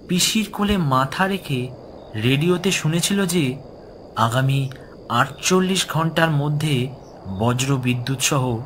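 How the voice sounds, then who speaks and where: A man's voice: mid-range voice, a bit bright, slight background noise. A man narrating a horror story in a dramatic, scripted storytelling style. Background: music.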